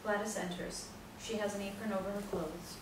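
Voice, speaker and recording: A woman's voice: medium pitch, fairly even intonation, brisk. A woman narrator reading scripted stage directions at a play reading, recorded in an echoey room. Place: echoey indoor room, far from mic. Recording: very echoey room, distant mic, noisy.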